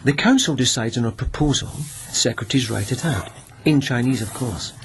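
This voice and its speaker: An actor in a radio drama playing a gentle, lovelorn police lieutenant, speaking in a deliberate, wry, musing way. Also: male voice, medium pitch, natural voice. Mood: measured, explanatory.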